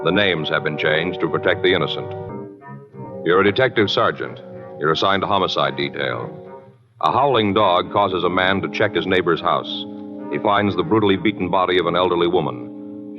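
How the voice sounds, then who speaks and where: Solemn deep-voiced male radio announcer. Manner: solemn, formal, measured. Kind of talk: 1950s radio scripted narration. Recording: muffled old radio broadcast recording. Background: brassy music.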